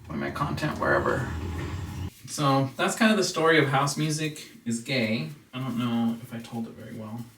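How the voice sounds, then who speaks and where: An American man telling a personal story as a casual vlog host, in a rambling, halting way. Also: mid-range voice, fairly bright tone. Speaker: house music producer and DJ hosting a vlog. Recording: roomy, distant mic with background noise.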